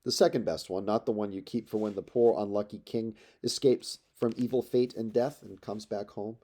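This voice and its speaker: A man's voice: lowish voice, steady tone, normal speed, mellow, lightly acted. A man voicing a resolute young prince, earnest and commanding, in an audiobook of an epic. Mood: urgent, instructing.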